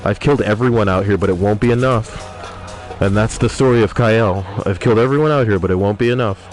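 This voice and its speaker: A man's voice: on the low side, fast, a little mellow. A young man streaming a game, reading viewer messages aloud and joking with crude, profane banter. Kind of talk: casual gaming livestream chat. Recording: music playing behind voice.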